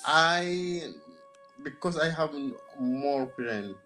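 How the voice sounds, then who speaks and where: A young man talking in simple, halting English on a video call while lying in bed, using a webcam mic. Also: a little high, sharp tone, faint music behind. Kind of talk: casual video chat. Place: bedroom, lying against a pillow.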